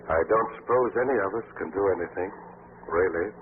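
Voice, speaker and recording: An actor playing a polite, ingratiating gentleman with a formal, courteous manner in 1940s radio drama style. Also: sits low, fairly quick, natural voice. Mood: solicitous. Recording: noisy, tinny 1940s radio recording.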